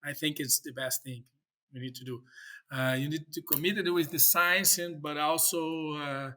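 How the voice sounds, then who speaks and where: A man from Brazil with a Brazilian Portuguese accent, Portuguese native, speaking as a professor in a podcast interview, halting with many fillers and restarts. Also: on the high side, fairly even intonation, bright, forceful tone. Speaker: professor and guest.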